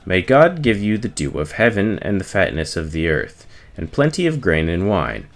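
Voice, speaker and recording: A man reading the Bible aloud from the text, giving the dialogue expressive emphasis in his own voice. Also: deep voice, normal speed. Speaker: reader.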